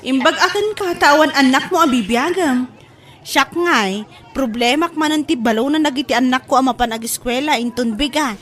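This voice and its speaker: Casting: chatty Ilocano market pal, high-pitched, bantering, radio comedy, faint crowd behind. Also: female voice, moderate pace, fairly bright tone. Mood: chiding. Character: chatty market-woman friend of a grumbling vendor. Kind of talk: broadcast comedy radio drama acting.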